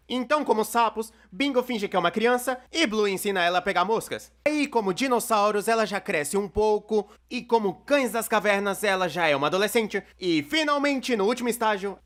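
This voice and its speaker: A wry young male storyteller, dubbed by a man gives casual commentary for an animated video. He has a Brazilian accent and a high voice that moves up and down in a lively way. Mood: explanatory.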